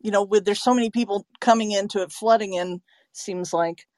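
A woman's voice: mid-range voice, steady tone, brisk, darkish tone, no room sound. A woman speaking conversationally as an interviewer, earnest and agreeable in manner. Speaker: interviewer, narrator and website owner.

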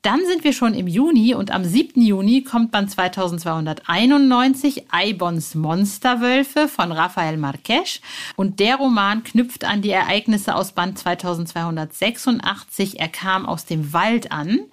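A woman's voice: medium pitch, lively intonation, moderate pace. A woman presenting a podcast as host, speaking directly and personally to her listeners. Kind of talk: podcast moderation, addressing listeners.

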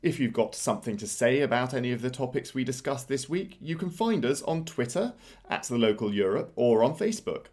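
A man co-hosting a podcast in a casual chat, pausing often with fillers and restarting his sentences. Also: mid-range voice, a little mellow. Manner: hesitant, many fillers and restarts. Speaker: podcast co-host.

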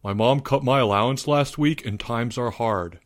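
A man's voice: medium pitch, normal speed, close to an everyday voice. A man voicing a put-upon, defensive schoolboy with a whiny, snappish way of talking.

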